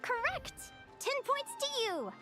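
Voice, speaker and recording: A woman's voice: very high-pitched, wildly swooping pitch, measured pace, over music, exaggerated voice. A woman playing a cheerful young adventuring advisor in a video game, bubbly, encouraging and instructive. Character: Linnea, cheerful young female adventuring advisor quizzing pupils. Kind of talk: animated video game voice acting.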